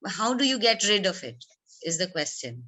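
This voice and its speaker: Bright-voiced woman moderating a Q&A. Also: mid-range voice, very animated, normal speed. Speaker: moderator reading out audience questions. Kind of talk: reading questions in a live Q&A.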